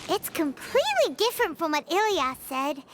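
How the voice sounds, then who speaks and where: Tiny, squeaky childlike companion. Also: female voice, sing-song, normal speed, noisy background. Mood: puzzled. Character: tiny chirpy childlike female companion, curious. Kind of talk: video game voice acting.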